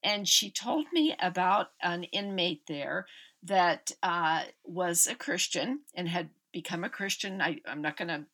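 A woman over fifty-five, hosting a podcast in a gushing, warm way with frequent fillers. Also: on the low side, animated, unhurried. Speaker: podcast host. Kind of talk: podcast interview.

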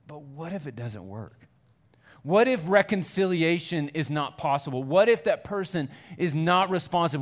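A pastor preaching a Sunday sermon in a church, conversational and self-deprecating, building to earnest fervor. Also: male voice, on the high side.

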